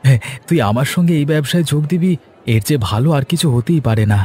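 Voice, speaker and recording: A man's voice: a little high, expressive, quick pace, darkish tone, husky. A man voicing a humble, trusting village fish seller in a fairy-tale cartoon, speaking respectfully and earnestly. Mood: welcoming.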